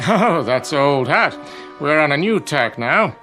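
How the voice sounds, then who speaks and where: A man's voice: fairly high pitch, sing-song, unhurried, over music. A man acting in a radio drama as a jovial, wry clubman with a breezy, bantering manner.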